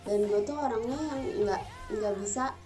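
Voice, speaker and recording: A woman's voice: mid-range voice, moderate pace. A 21-year-old woman from Bandung with a Sundanese Indonesian accent, talking casually and emphatically to her viewers in slangy speech. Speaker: vlogger. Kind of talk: casual talk to camera. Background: music.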